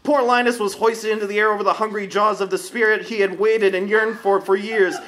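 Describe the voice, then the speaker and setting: A man's voice: high-pitched, flat delivery, brisk, a bit bright. A man reading a scripted comic story as a theatrical, dramatic narrator.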